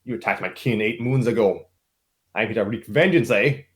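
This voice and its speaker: A man's voice: lowish voice, normal speed, close to an everyday voice. A man voicing a haughty young wild woman, a villainous wolf queen who snaps out imperious threats in a story.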